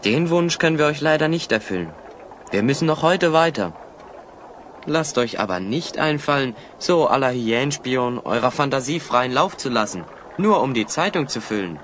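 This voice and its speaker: An actor playing a good-natured, wry adventurer hero in a German audio drama, friendly and teasing. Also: male voice, mid-range voice, animated, moderate pace, a bit bright, slight background noise, close to an everyday voice.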